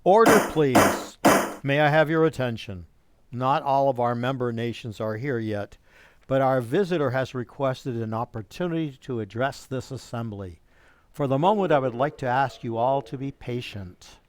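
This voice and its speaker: A formal assembly leader, acted by a man, with a medium-pitched voice and a dry, close sound. He is orderly, ceremonious and authoritative, in radio play style. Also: moderate pace, no room sound, close to an everyday voice. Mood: calling for order. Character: formal male official chairing a world assembly.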